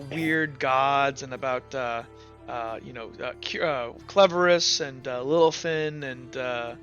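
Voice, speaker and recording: A man working as game master, casually recapping a tabletop game in a halting way, stumbling over his words. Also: fairly high pitch, a bit bright.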